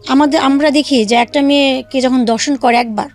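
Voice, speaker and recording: A woman telling her own life story in a halting way, with many fillers and restarts. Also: sits high, steady tone. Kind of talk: emotional personal storytelling.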